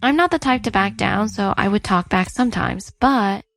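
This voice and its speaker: A woman's voice: medium pitch, normal speed, vocal fry, fairly bright tone, soft background music. A woman acting and narrating as a weary but outspoken wife in her thirties in a dubbed story. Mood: weary, matter-of-fact. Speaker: narrator and lead character.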